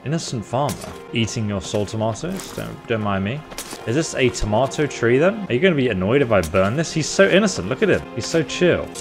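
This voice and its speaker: A man's voice: mid-range voice, very animated, fairly quick, creaky, music in the background. A man giving casual, playful game playthrough commentary as a video host, chatting and reacting aloud as he goes.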